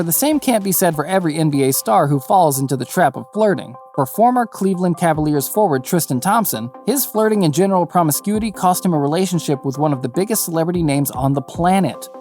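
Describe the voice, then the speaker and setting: A man reading scripted narration for a basketball compilation video in an even, read-aloud way. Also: fairly high pitch.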